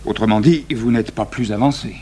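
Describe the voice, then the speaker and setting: A man's voice: fairly low pitch, a little fast, mellow, lightly acted. Pompous, imperious magistrate played by a man. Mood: sceptical, impatient. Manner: curt, pompous, clipped and insistent. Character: imperious male examining magistrate, impatient and condescending. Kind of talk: French radio drama acting. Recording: hummy, noisy old radio broadcast.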